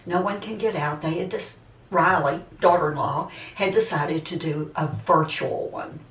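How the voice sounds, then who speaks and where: A woman's voice: deep voice, unhurried. A woman in her seventies chatting casually and a bit ramblingly to her viewers, addressing them directly. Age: 70s, seventy-six. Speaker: vlogger. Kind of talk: casual personal chat to viewers. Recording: roomy echo, distant microphone.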